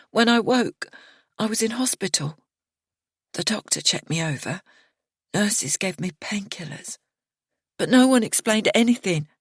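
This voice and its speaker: A woman reading an audiobook, voicing a bitter, grieving young woman with a slow, accusing drawl. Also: a little high, breathy edge, no room sound, studio quality, voice pushed up, full character voice. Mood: hushed.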